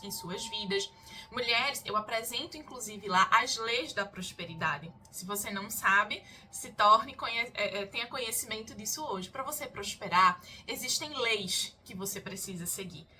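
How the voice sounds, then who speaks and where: A woman's voice: on the high side, bright, forceful tone, a little hiss or hum. A Brazilian woman coaching women in an emphatic motivational lesson, full of repeated questions and lists. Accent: Brazilian Portuguese.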